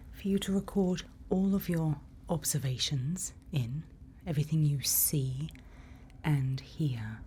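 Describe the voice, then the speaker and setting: Female, low soft whisper for ASMR roleplay; slow, reassuring guide, faint ambient noise. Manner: gentle, unhurried, reassuring instruction. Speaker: ASMR roleplay performer playing a night watch guide.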